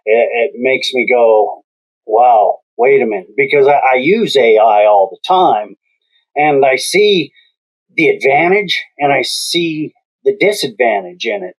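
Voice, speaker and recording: A man hosting a podcast interview, speaking in a slow, measured way with long pauses. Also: medium pitch, animated, low-quality recording. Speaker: podcast host.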